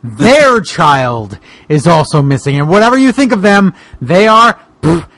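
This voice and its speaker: A man playing a brooding, reluctant monster hero in a halting, mock-dramatic way for a comic fan fiction reading. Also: high voice, sing-song, fairly bright tone, no echo, full character voice. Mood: grudging, brooding.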